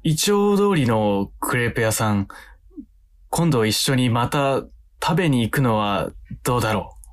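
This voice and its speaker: A man's voice: mid-range voice, expressive, moderate pace, close to an everyday voice. A man playing an earnest, hesitant young man in a Japanese audio drama.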